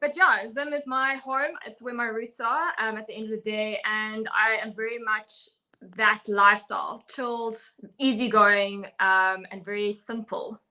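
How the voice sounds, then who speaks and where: Young woman, from Zimbabwe, earnest, firm, bright raised voice. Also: on the high side, steady tone, moderate pace, low-quality recording. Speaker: fitness coach and guest. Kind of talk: personal story in an interview.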